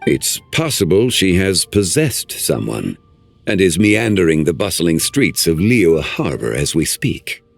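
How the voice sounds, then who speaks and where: A deep, solemn ancient guardian. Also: male voice, very animated, gravelly, soft background music, full character voice. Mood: grave. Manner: formal, measured, old-fashioned phrasing. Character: ancient calm male guardian, formal and measured. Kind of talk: video game voice acting.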